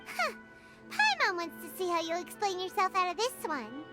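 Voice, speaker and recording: A woman voicing a tiny, childlike fairy companion in a video game, squeaky, chatty and exclamatory, quick to get indignant. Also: sing-song, over loud music. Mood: bold, accusing. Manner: exclamatory, speaks of herself in third person. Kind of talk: video game character acting.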